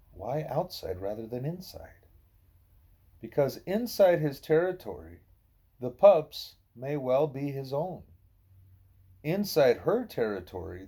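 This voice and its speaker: A man reading a novel of dialogue aloud in a soft, husky voice, with a measured, explanatory and expressive delivery. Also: medium pitch. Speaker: audiobook reader. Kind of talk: scripted narration of a novel in dialogue.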